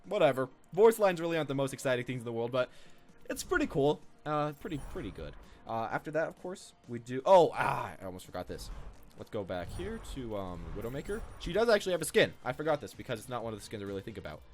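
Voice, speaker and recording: Fast, lively young man commentating games. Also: mid-range voice. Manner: rambling, informal. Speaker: gaming video creator. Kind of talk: casual game commentary.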